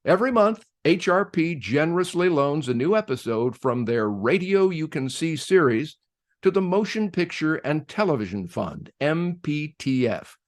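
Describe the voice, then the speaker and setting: A man hosting, reading a scripted introduction to a radio play in a measured, emphatic announcer-like way. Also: on the high side, sing-song.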